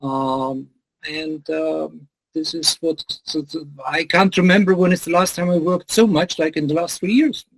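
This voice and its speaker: A man's voice: fairly high pitch, steady tone, measured pace, rough recording. Middle-aged German man, reflective. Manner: reflective, many um and uh fillers. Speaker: guest, business lecturer. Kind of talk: conversational interview answers.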